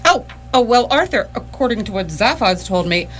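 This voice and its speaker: A woman's voice: a little high, expressive, normal speed, a bit bright. A woman playing a helpful, breezy spaceship crew member in a radio comedy drama. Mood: breezy, explaining. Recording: stage performance with background hum.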